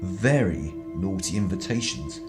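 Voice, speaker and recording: A man's voice: low voice, animated, unhurried, over music. A man playing a cocky, scheming spy in a radio drama, with arch, wordy, old-fashioned banter. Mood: sly scheming. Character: cocky, scheming young-at-heart spy, calculating and theatrical.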